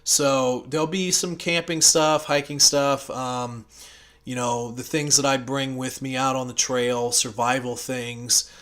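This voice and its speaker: An American man talking casually and unscripted as a channel host, in a rambling, conversational way with hesitant pauses. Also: mid-range voice, flat delivery, fairly bright tone. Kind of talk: casual unscripted audio log.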